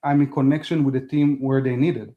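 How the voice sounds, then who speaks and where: A man whose first language is Hebrew, a guest talking casually in an interview, halting and restarting as he speaks in non-native phrasing. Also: medium pitch, flat delivery, narrow, tinny audio. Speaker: podcast guest.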